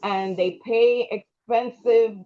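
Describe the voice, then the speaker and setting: A woman's voice: fairly high pitch, steady tone, slow pace, crowd noise. A woman hosting a writing workshop on a video call, giving direct, no-nonsense advice. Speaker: workshop host. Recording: dull lo-fi call audio.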